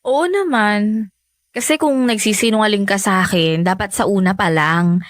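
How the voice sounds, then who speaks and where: A woman's voice: mid-range voice, normal speed, dry recording, studio quality, lightly acted. A Filipino woman acting in a radio romance drama as a lovestruck young woman, trusting yet hesitant and defensive about her affair.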